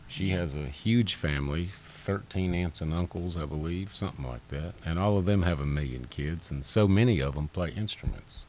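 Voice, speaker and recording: North georgia guy, super low voice, easygoing, trails off. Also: normal speed, darkish tone, a little hiss or hum. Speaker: singer-songwriter guest. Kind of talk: casual interview chat.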